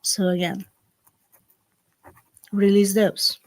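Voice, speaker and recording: A woman with a Slovak accent, a native Slovak speaker, hosting a live-streamed ritual from home and giving slow, gentle instructions full of fillers. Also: mid-range voice, flat delivery, no room sound. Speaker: spiritual ritual host. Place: home in the south of France. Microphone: computer on a live stream.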